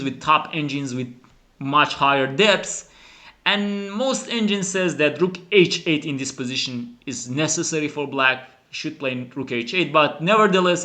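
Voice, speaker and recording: A man who is a non-native English speaker gives animated, emphatic chess commentary as a teacher, dramatizing the game. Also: on the high side. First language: not English. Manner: dramatic, emphatic, acts out the players' thoughts. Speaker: chess teacher and channel host.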